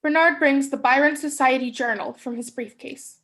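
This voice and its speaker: A woman's voice: high voice, normal speed, a bit bright. A young woman reading stage directions as scripted narration in a play reading. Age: young adult.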